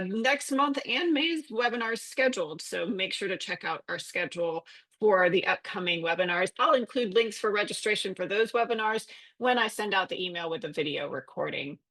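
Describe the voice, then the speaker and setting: A woman's voice: medium pitch, fairly bright tone. Woman webinar host on a call, friendly and informal, reading out announcements. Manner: friendly, informal, some fillers. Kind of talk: event announcements and introduction.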